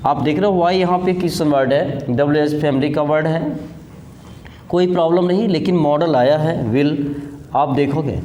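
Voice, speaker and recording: A man's voice: on the high side, moderate pace, mellow. A man with an Indian accent teaching a grammar lesson in an instructional way, repeating key points and mixing in English terms. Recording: echoey room with background noise.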